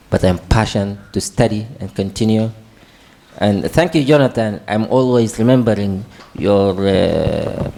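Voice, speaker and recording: Low, mellow-voiced man from Darfur. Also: slight background noise. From Darfur, Sudan. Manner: hesitant, non-native phrasing with fillers. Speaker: student panelist. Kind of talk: panel self-introduction. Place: conference hall.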